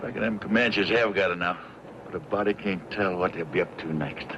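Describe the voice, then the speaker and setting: Gruff frontiersman acted by a man. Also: deep voice, fairly quick, noisy background, lightly acted. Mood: wary. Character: gruff, belligerent frontiersman, scolding and folksy. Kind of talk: old-time radio western acting. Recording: dull old radio recording, loud music.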